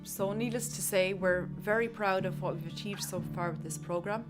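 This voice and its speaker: A woman's voice: medium pitch, moderate pace. Woman's promo voice-over over music. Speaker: program representative.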